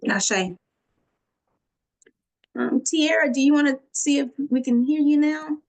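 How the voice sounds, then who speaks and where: A woman in her thirties leading a group call, relaxed and encouraging as she calls on each person. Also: high voice, takes it slowly. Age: 30s. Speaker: group facilitator.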